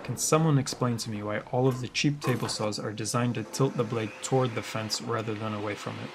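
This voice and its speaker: A man giving a scripted DIY tutorial voice-over in a deadpan, wry and sarcastic manner. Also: mid-range voice, brisk, noisy background. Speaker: woodworker presenting a DIY tutorial.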